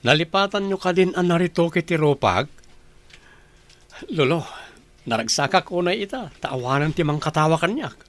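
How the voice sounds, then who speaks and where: A young Ilocano grandson in a radio drama. His voice sits a little high, and he talks with new confidence, as someone set on leaving his past behind. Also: animated, moderate pace, pauses often, fairly bright tone. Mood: resolute, bitter. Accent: Filipino, Ilocano. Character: young grandson, newly confident, bitter about past looks.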